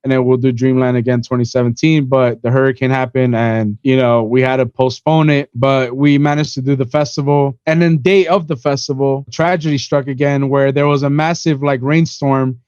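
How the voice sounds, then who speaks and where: Smooth-voiced young man telling stories casually. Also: medium pitch, little pitch movement, moderate pace, few pauses, darkish tone, no room sound, dull audio. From Miami, USA. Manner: rambling, peppered with "you know" and "bro". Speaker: podcast guest, event organizer. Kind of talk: casual storytelling chat.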